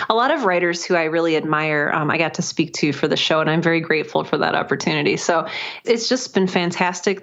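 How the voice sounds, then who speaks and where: Woman, lower voice, earnest podcast guest, conversational, chatty, slightly muffled band-limited recording. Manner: earnest, chatty, often laughs while talking. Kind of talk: conversational interview, explaining own work.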